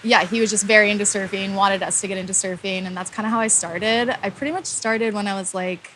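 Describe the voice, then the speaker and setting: A woman's voice: medium pitch, fairly even intonation, fast, fairly bright tone, a little hiss or hum, rough recording. A woman from Hawaii in her thirties, a professional surfer, chatting casually in an interview and leaning on lots of "like" fillers. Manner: laid-back, lots of "like" fillers. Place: outdoors on a beach. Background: music.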